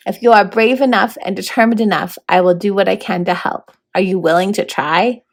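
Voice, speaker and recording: A woman's voice: medium pitch, steady tone, brisk, natural voice. A woman voicing a formal, fussy, stern male horned toad mentor in a storybook read-aloud. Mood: solemn. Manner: proper, grandly worded, matter-of-fact.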